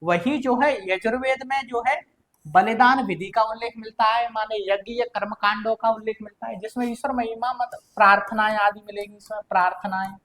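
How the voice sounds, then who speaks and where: A woman's voice: a little high, fairly even intonation, fairly quick, fairly bright tone. A woman with an Indian accent teaching an exam-prep lesson, repeating key points and answering her own questions in a didactic way.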